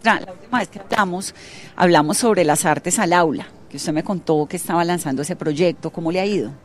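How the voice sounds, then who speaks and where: Effusive Colombian radio woman, lowish voice. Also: fairly quick. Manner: effusive, chatty, informal. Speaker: radio journalist and interviewer. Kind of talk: conversational radio interview.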